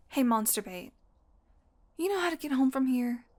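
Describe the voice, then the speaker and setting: Casting: actress for female vampire, wry and teasing, higher-pitched voice, unhurried delivery, intimate audio drama. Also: expressive, darkish tone. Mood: strained, hungry, desperate, then strained, urgent. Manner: sardonic, teasing, casual, swearing. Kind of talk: intimate audio roleplay acting.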